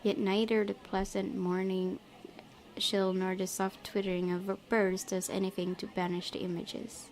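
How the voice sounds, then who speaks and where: A woman reading visual novel text aloud as a game streamer, with expressive, chatty asides. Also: mid-range voice, a little slow, low-quality recording. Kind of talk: let's play, reading game text aloud with casual commentary. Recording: game music behind voice. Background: game music.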